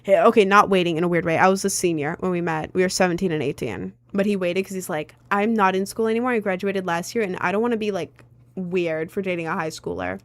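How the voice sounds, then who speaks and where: A young woman in her late teens chatting casually on a livestream from home, rambling and filling pauses with "like" and "um". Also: fairly low pitch, no echo. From United States. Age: young adult. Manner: rambling, chatty, lots of "like" and "um" fillers. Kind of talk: casual livestream chat answering viewers.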